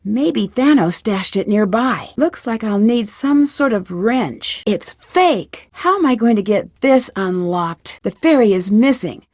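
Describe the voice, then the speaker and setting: A woman voicing a curious, determined young female detective in an adventure game, talking to herself in clear, purposeful scripted lines. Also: fairly high pitch, expressive, measured pace, few pauses, a little mellow, dry recording, clean studio sound. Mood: focused, curious. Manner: clear self-talk, inquisitive and purposeful. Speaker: game protagonist.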